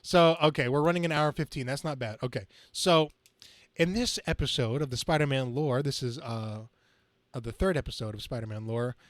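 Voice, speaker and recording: Medium-pitched man hosting, dry close sound. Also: fairly quick, no echo. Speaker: podcast host. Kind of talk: casual chat about comics and movies.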